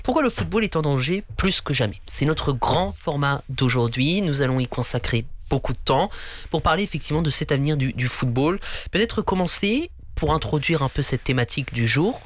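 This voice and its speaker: Chatty radio sports host from Normandy. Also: male voice, animated, brisk. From Normandy, France. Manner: chatty, reads out listener messages, swoops up in pitch. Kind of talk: lively sports talk show hosting. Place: radio studio.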